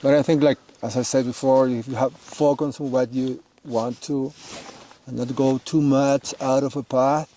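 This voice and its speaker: A man's voice: mid-range voice, fairly even intonation, moderate pace, warm tone, slight background noise. A man from Chile in his forties, speaking flavoured in short, simple answers as an interview guest. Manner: simple non-native English, short answers. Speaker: guest, snowmobile business owner. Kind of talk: casual interview.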